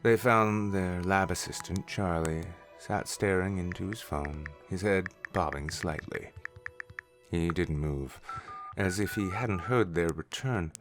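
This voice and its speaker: A man's voice: low voice, takes it slowly, soft background music. A man narrating a scripted story in the third person.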